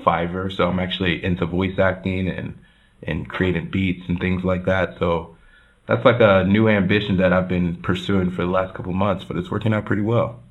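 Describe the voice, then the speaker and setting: A very deep-voiced man from Pennsylvania comes through a thin, phone-like line. He talks in a relaxed way as a podcast guest, with frequent ums. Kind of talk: casual interview talk.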